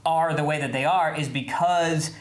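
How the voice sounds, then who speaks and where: Guy co-hosting, gets animated making his case, talks over people, roomy echo on him. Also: a little high, moderate pace. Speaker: co-host. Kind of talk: casual debate on a podcast. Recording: echoey room.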